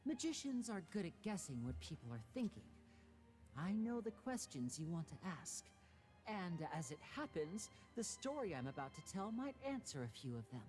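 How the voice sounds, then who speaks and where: A woman voicing a suave, composed young male stage magician in an anime game, with smooth theatrical showmanship. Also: fairly low pitch, sing-song, moderate pace, a little mellow, soft background music. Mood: measured storytelling.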